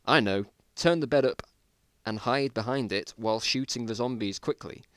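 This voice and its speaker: A man's voice: medium pitch, normal speed, fairly bright tone, no room sound, lightly acted. A man voicing panicky male survivors of a zombie apocalypse, barking urgent, exclamatory lines in an amateur story reading. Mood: urgent shouting.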